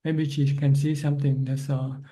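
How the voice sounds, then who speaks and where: Calm dark-voiced monk on a video call. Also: male voice, mid-range voice. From Thailand. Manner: calm, plain, unhurried advice. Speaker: Buddhist monk answering questions. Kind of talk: spiritual advice on a video call.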